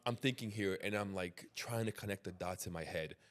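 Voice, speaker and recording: Slightly low-voiced male podcast host. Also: fairly quick. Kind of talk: casual interview chat.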